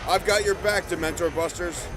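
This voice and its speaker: A man's voice: high-pitched, normal speed, lightly acted, poor audio. A man playing a jolly, loyal Santa Claus wizard in an audio drama. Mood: confident.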